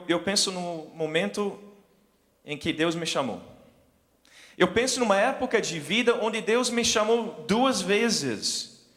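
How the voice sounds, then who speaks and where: Male preacher, forties, American accent, English native. Notably high voice, halting storyteller delivery, long hall reverb. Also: a bit bright. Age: 40s. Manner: self-deprecating, halting search for words. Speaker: preacher, missions speaker. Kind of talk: sermon with personal storytelling. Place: large indoor hall, speaking to an audience.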